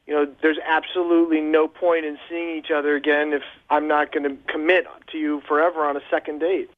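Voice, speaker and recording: A man's voice: fairly high pitch, flat delivery, fairly quick, dry recording. A man calling in to a radio show, talking casually over the phone. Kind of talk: casual chat. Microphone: phone call. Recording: tinny narrow phone line.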